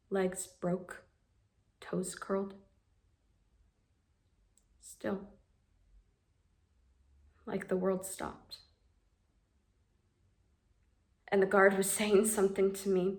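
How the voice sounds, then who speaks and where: Woman acting a haunted young woman, plainspoken storyteller, bright clear voice. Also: high voice, heavily acted. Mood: hushed. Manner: plainspoken storytelling, builds to screams. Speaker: actor performing a monologue. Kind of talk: audition monologue.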